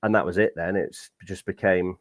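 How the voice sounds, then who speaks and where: A laid-back British man in his late thirties talks in a low voice with a dry sense of humor. The sound is close and dry, with no room echo. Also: fairly even intonation, moderate pace. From United Kingdom. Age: 30s. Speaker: podcast co-host. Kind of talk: casual chat.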